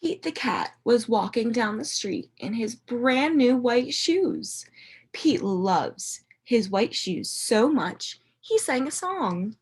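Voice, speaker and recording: Female primary teacher doing an interactive storybook read-aloud; unhurried, slightly husky, expressive, with dramatic emphasis for young listeners. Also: on the high side. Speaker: teacher reading a picture book aloud to young children. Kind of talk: interactive children's read-aloud, asking questions.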